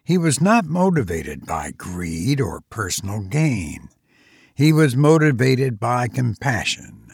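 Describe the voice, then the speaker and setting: An earnest, principled defense lawyer voiced by a man reading an audiobook makes a solemn plea. The voice is gravelly and slow, with rhetorical, persuasive phrasing and a dry sound. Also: medium pitch, no room sound, full character voice.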